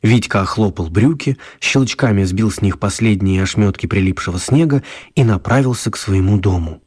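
A male actor as the storyteller of a children's radio play, narrating expressively in a husky voice. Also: fairly low pitch, a little mellow.

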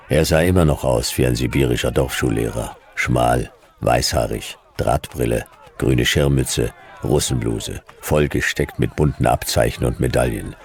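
A man playing a world-weary detective, narrating a radio play in terse, dry, clipped phrases with a gravelly voice. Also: extremely low pitch, a little mellow, heavily acted. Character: world-weary male detective narrating his own adventure.

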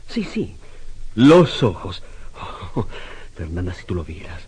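An obsessive, excitable sculptor in a radio play. His voice is husky and swings widely in pitch. He is fervent and theatrical in his delivery. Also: slow, exaggerated voice. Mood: excited, fascinated. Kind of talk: radio drama acting.

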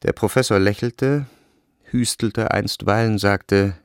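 A man reading scripted literary narration for a radio play in a measured, precise way. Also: on the low side, fairly even intonation, clear, resonant tone, dry recording, studio quality.

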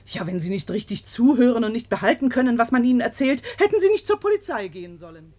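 An indignant landlady in a 1950s radio drama. She is gossipy and quick to scold, with a fairly high voice that leaps up and down as she lectures. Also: sing-song, darkish tone. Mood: flustered, huffy.

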